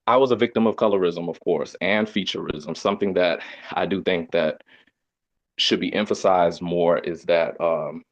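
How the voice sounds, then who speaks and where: Deep-voiced man on a muffled call. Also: normal speed, no echo. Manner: earnest, explaining, with fillers. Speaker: community discussion participant. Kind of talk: casual discussion on a video call. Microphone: video call. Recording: dull muffled video call audio.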